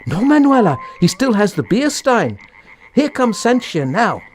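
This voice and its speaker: A man's voice: high-pitched, very animated, unhurried, a little hiss or hum. A man playing a nosy, tactless, gossiping villager in a comic radio play. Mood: gossipy.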